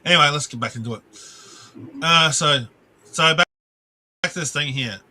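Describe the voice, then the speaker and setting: A man's voice: a little high, normal speed, pushed and bright. A man ranting on a live stream, rambling and restarting his sentences, swearing and breaking off to greet viewers in the chat.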